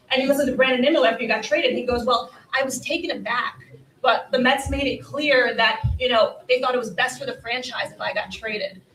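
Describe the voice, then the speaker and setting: Fast-talking woman in an echoey room. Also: a little high, fairly bright tone. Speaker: sports journalist and panelist. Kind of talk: live panel sports discussion. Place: live convention panel stage. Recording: echoey live room, distant from mic.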